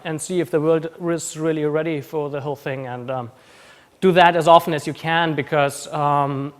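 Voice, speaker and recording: Conference speaker: man from Austria, Austrian-Kiwi accent, slightly high voice, relaxed, full of ums. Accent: mixed Austrian German and New Zealand. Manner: casual, many ums and restarts. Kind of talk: conference talk. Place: conference room.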